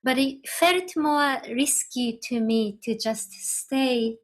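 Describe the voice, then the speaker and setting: A woman from Japan, a Japanese native speaker with a Japanese accent, talking thoughtfully as a podcast guest, with pauses and restarts. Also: on the high side. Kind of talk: podcast interview.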